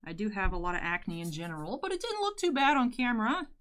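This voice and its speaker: Give me a chatty young woman with a deeper voice doing her makeup on camera. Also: fast, fairly bright tone. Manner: chatty, rambling, candid. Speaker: YouTuber. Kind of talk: casual makeup tutorial chat.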